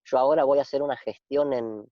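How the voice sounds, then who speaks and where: A man in his forties from Argentina, with an Argentine Spanish accent, talking reflectively in a casual interview, pausing and restarting often. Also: mid-range voice, fairly even intonation, no room sound. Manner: reflective, halting with many restarts and fillers. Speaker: interview guest. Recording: dull, dry lo-fi sound.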